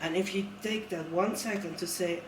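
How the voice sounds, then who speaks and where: Man with a high voice, earnest and reflective, distant mic, roomy sound. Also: steady tone, normal speed, slight background noise. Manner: earnest, reflective, with many restarts. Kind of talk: casual conversation.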